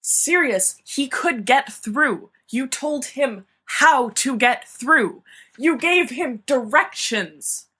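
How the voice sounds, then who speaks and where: A woman's voice: high voice, lively intonation, slow, bright, forceful tone, slightly rough, pitched above the performer's own voice, exaggerated voice. A woman voicing a frantic, exasperated teenage boy in an audiobook, spluttering and emphatic. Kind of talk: dramatised fan-fiction audiobook reading.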